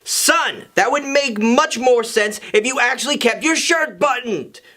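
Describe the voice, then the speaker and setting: A man's voice: extremely high pitch, unhurried, sharp tone, pitched above the performer's own voice, heavily acted. A man voicing an earnest, contrary teenage boy in a story, protesting as he speaks. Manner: exclaiming, protesting.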